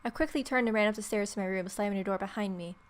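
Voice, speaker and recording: A woman's voice: medium pitch, very fast, heavy background noise. A woman hosting a let's play, reading a visual novel aloud and adding wry, playful asides. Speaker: gaming video host. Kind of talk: let's play, reading protagonist's lines and ad-libbing.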